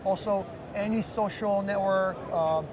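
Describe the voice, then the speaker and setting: A man's voice: sits high, fairly even intonation, unhurried. A man in his thirties explaining a product as an executive being interviewed at a busy trade show booth. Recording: loud busy trade show floor noise.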